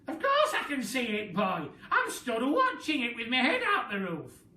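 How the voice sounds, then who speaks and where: A head teacher voicing a bossy, cackling old grandmother who scolds and mocks loudly. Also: mid-range voice, wildly swooping pitch, moderate pace, pitched above the performer's own voice. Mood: amused. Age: older. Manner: loud, scolding, mocking. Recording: roomy sound from a distant mic.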